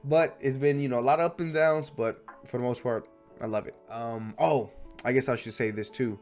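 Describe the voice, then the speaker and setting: A young man with a cold talks in a stuffy, nasal voice at an ordinary middle pitch, rambling and restarting his sentences. His delivery is loose and chatty. Faint music plays behind him. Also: fairly even intonation, normal speed, darkish tone. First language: English. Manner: chatty, self-correcting, restless. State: sick, stuffy voice. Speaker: language learning vlogger. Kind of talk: casual rambling monologue.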